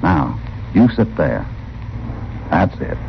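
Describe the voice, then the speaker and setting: A shrewd, commanding cowboy hero in a vintage radio western. His American voice is low, brisk and sure of itself. The recording is muffled with faint noise. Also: sing-song, vocal fry, full character voice. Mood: methodical. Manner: brisk, authoritative, confident. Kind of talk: old-time radio western acting.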